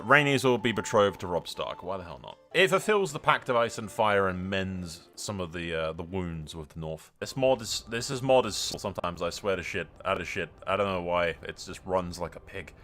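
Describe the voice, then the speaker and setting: A man's voice: low voice, animated, moderate pace, hardly any pauses, pushed and bright. A man doing casual let's-play commentary, sweary and blunt, slipping between exclamations and in-character roleplay narration. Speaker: gaming video host.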